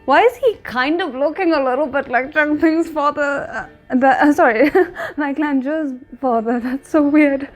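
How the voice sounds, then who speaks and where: Casting: female reaction host, somewhat high-pitched, informal, musing and hesitant as she thinks aloud. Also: a little slow, hardly any pauses, faint music behind. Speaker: reaction host commenting on a drama episode. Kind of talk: casual reaction commentary.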